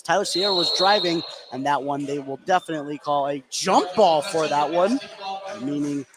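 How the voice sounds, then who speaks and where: A man doing live radio play-by-play of a basketball game, following the action continuously and getting excitable on big plays. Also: a little high, moderate pace, crowd noise, rough recording. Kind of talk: live radio sports commentary. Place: courtside in a sports gym during a game. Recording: clear gym noise behind voice.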